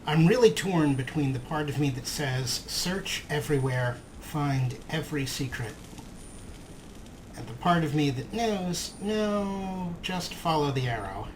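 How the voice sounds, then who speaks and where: Slightly high male voice, let's play host, casual commentary, clear game noise behind. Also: lively intonation, measured pace.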